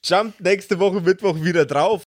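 A man's voice: high voice, measured pace, no echo, clean studio sound. A man speaking casually and playfully as the game master and host of a podcast. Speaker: game master and storyteller of a pen-and-paper podcast. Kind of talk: casual podcast sign-off and thanks.

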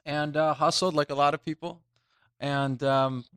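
A man from Russia, a company founder, answering interview questions in a relaxed, easygoing way. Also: a little high, unhurried, clean tone, dry recording, clean studio sound. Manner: relaxed, laid-back.

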